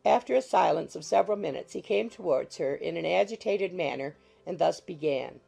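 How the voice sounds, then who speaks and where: A woman's voice: fairly low pitch, animated, a little fast, vocal fry, mellow, slightly rough, faint music behind. A woman reading scripted literary narration as an audiobook narrator.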